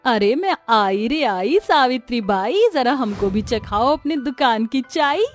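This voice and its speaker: A woman puts on an older village woman in a cartoon, drawing out her words slowly in a sing-song way, with faint music behind her. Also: on the high side, lively intonation. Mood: relishing. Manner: drawling, slow, sing-song.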